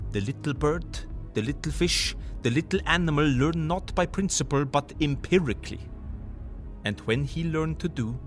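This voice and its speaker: An actor playing an older, earnest professor in an audio drama, lecturing emphatically in quaint broken English. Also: male voice, medium pitch. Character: older male foreign professor, earnest, fervent, philosophical lecturer. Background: music.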